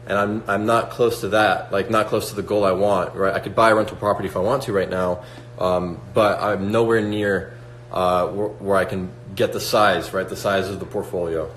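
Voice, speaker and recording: Young guy on a livestream, lowish voice, echoey room with a faint hum. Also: steady tone, moderate pace, a bit bright. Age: 20s. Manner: motivational, reads out chat questions, many fillers like "like" and "right?". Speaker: livestream host. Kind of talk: casual livestream chat with viewers.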